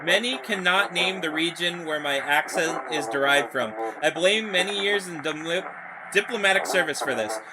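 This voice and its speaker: A man's voice: a little high, animated, normal speed, close to an everyday voice. Man reading as a formal canal developer over noise. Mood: solemn, grandiose. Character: solemn male canal developer, a weary former diplomat.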